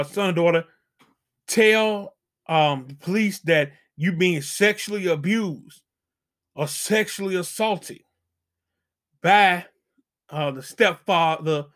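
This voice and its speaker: A man's voice: sits high, fairly bright tone. A middle-aged man hosting a channel, giving casual dating advice as an emphatic, preachy warning full of slang.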